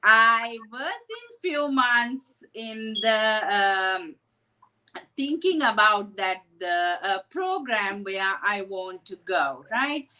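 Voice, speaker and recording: A woman's voice: medium pitch, animated, takes it slowly, pushed and bright, low-quality recording. A woman from Sri Lanka, a psychotherapist telling her story earnestly in an interview, often adding "right?". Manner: earnest, reflective, with frequent "right?" asides. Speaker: psychotherapist guest.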